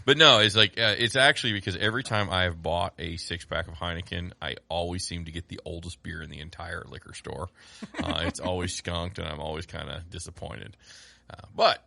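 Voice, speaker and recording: A man with a deep, gravelly voice that still sounds bright and cutting, jumping up and down in pitch as he jokes around. He co-hosts a casual podcast, loud and playful, recorded dry and close. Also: brisk, no echo. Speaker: podcast co-host. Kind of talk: casual chat.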